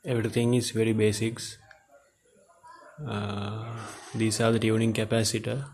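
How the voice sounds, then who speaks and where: A man giving an unscripted product demonstration in halting, hesitant short phrases, as the presenter of a gadget teardown video. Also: on the low side. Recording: clear steady background noise.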